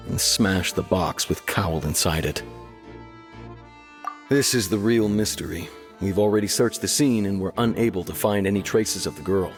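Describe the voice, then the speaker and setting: Man playing a low-voiced, sceptical investigating officer. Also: natural voice. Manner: measured, blunt, matter-of-fact. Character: frank, sceptical adult male investigating officer. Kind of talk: video game dialogue, explanatory. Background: soft background music.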